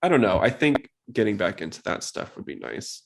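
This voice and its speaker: A man's voice: lowish voice, very animated, fairly quick, gravelly, a little hiss or hum. A man hosting a podcast in a casual, inquisitive, conversational way. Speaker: podcast host.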